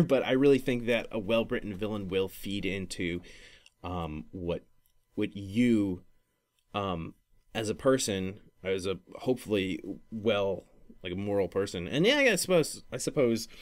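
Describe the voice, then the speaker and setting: Low-pitched man, rambling podcast talk. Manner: rambling, halting, thinking aloud; informal, self-interrupting, profanity-laced. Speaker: podcast speaker, comic creator. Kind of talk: casual podcast discussion of storytelling.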